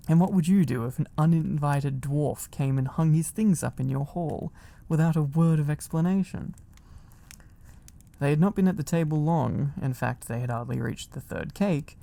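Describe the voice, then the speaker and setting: A man narrates a storybook for ASMR in a dark, mellow voice with plenty of creak. His delivery is gentle, cosy and unhurried. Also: fairly high pitch. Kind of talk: soft storybook reading, ASMR.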